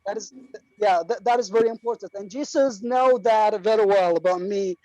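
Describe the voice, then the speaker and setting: Iraqi man, participant in an online class, halting and full of restarts, dry dull video call sound. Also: high-pitched, a little mellow, no room sound. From Iraq. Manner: halting, many fillers and restarts. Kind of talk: casual speech to a class.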